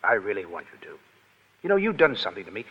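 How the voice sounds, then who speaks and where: A man's voice: on the low side, wildly swooping pitch, fast talker, breathy edge, heavily acted. An actor playing a brash, self-effacing American Foreign Legion private in 1930s radio drama, with blunt, slangy tough-guy talk. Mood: wistful, urgent. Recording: vintage 1930s radio, dull, hall reverb.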